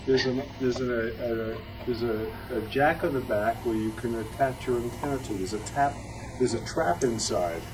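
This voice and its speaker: Man, medium pitch, informal club presenter, halting, plainspoken, distant microphone. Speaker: club member presenting a collectible. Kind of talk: informal show-and-tell talk. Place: club meeting room. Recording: picked up from a distance.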